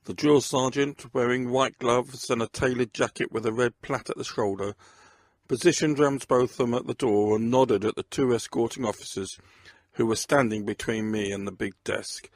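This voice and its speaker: A man's voice: medium pitch, fairly even intonation, normal speed, dry recording, studio quality. A man reading scripted first-person narration of a crime story.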